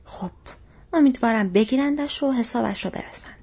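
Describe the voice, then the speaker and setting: A woman plays an insolent male orc tracker from a fantasy audiobook, scoffing and defiant. The voice is very husky and breathy, pitched fairly high, on a dull, muffled recording. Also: darkish tone. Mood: sullen, scoffing. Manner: scoffing, quarrelsome. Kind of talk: audiobook character voice.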